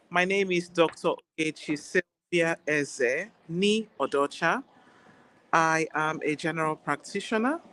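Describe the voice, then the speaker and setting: A woman with a Nigerian accent, an Igbo speaker, talking as a guest doctor in a relaxed interview, chatty and quick to laugh. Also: low voice, rough recording. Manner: warm, chatty, laughs easily. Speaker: guest, general practitioner. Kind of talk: casual interview chat.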